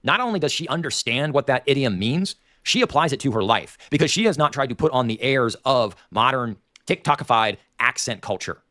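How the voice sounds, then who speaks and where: A nasal-voiced man with a Dayton, Ohio Appalachian accent hosts a livestream, ranting in long, opinionated digressions. His pitch swings widely as he talks. The sound is dry and close. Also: expressive, a little fast, hardly any pauses, bright, forceful tone, dry recording. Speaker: livestream host. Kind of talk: rambling livestream commentary.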